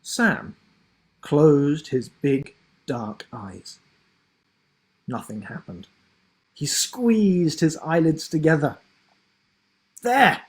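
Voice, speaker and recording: Male author-narrator for children's fiction: slow, deliberate storytelling, breathy husky tone, expressive delivery. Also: a little high. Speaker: author reading his children's book.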